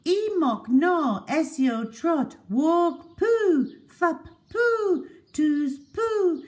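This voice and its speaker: Woman acting a gushing, high-pitched doting lady. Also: expressive, drawn-out words, exaggerated voice. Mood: chanting. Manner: gushing, singsong, exclaiming. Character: doting middle-aged lady cooing to her pet tortoise.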